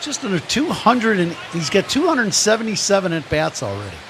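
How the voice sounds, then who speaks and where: A man's voice: high voice, huge pitch swings, a little fast. A man chatting casually as color commentator on a live baseball radio broadcast from the stadium booth. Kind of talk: casual sports banter and commentary. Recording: clear ambient ballpark noise behind.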